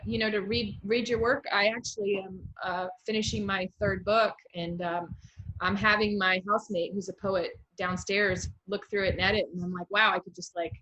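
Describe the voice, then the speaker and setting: A woman's voice: mid-range voice, pushed and bright, rough recording. A woman with an American accent, hosting a casual interview over a video call in a chatty, encouraging way. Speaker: interview host, writer.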